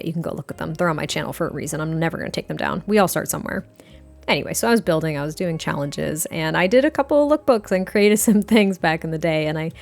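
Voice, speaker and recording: A woman in her thirties with a hoarse, gritty, raspy voice, chatting casually and self-deprecatingly in a gaming voiceover. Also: on the low side, a little mellow. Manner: rambling, self-deprecating, laughs often. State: hoarse from a weekend of cheering and singing. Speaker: Sims YouTuber.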